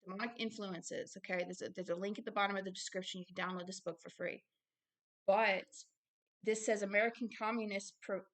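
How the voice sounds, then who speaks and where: A woman's voice: mid-range voice, a bit bright. A woman giving informal religious commentary while reading aloud from a book, with emphatic repetition and colloquial asides. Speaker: online ministry host reading and commenting on a book.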